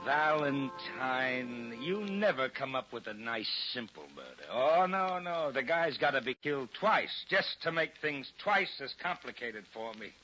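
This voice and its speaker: An actor playing a weary, sardonic police lieutenant in a gruff manner on a 1940s radio drama. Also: male voice, mid-range voice, very animated, unhurried, few pauses, pushed and bright, soft background music. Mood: exasperated. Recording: dull, noisy 1940s radio recording.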